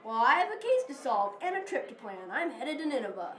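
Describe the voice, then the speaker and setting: A woman's voice: high-pitched, sing-song, a little fast, a bit bright, echoey room. A woman playing an earnest, inquisitive detective in an amateur audio drama. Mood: businesslike.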